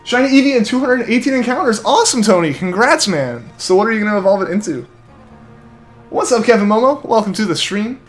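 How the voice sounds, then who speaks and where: A young man rambles through a live game stream, reading viewer comments aloud and padding nearly every phrase with "like". His voice sits a little high for a man and comes through a headset mic. Faint game music plays underneath. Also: big pitch swings, fairly quick. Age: young adult. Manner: rambling, filler-heavy, keeps saying like. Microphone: headset mic feeding back to headphones.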